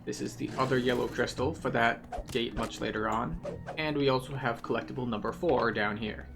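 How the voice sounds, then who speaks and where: A man's voice: medium pitch, fairly even intonation, normal speed, creaky, over music. A man giving a methodical, explanatory guided commentary through a video game as the host.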